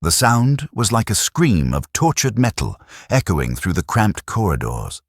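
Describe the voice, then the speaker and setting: A man narrating a science fiction audiobook with dramatic, expressive storytelling. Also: fairly low pitch, measured pace, dry recording, clean studio sound.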